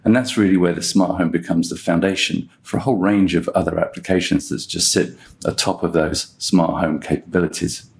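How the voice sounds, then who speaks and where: A man's voice: deep voice, fairly even intonation, brisk, creaky, few pauses. A man hosting a podcast interview, asking long framing questions as a research director. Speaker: guest host, research director.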